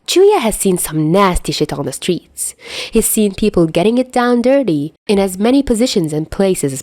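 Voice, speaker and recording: A woman reading a story aloud as an expressive fiction narrator. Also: medium pitch, no room sound.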